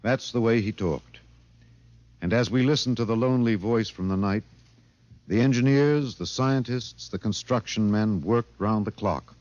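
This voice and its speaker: A man's voice: fairly low pitch, normal speed, a little mellow, dry recording, lightly acted. An actor playing a grave, earnest newsman narrating a 1950s radio drama in a dramatic manner. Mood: reflective. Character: grave newsman narrator recalling a space tragedy. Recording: dull old radio recording.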